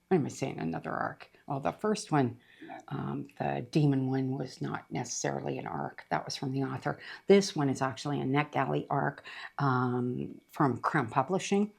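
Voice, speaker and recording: A middle-aged woman chatting casually about books she read, her voice rough after being sick, rambling with lots of fillers. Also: a bass voice, darkish tone. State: voice rough from recent illness. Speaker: book reviewer. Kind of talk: casual book review chat.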